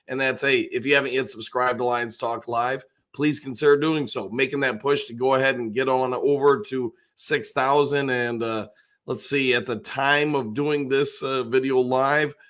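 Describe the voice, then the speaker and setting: An older man with a hoarse voice, hosting a casual live stream and rambling along with plenty of fillers. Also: mid-range voice, fairly bright tone. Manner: rambling, folksy, lots of fillers. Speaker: host.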